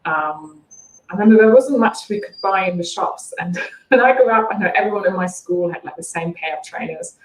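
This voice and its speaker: A woman's voice: on the low side, fairly quick, echoey room, rough recording. A woman in her forties from East Germany with a German accent, chatting and laughing as a guest on an interview show. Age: 40s. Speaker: guest, branding coach. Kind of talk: casual interview chat.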